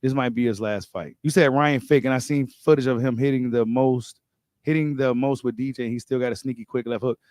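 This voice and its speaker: A man's voice: medium pitch, steady tone, fairly quick, a little mellow, dry recording. An American man hosting a boxing talk livestream, chatting casually in slangy, informal speech and repeating phrases for emphasis. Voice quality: voice getting slightly worn. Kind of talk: casual livestream chat and commentary.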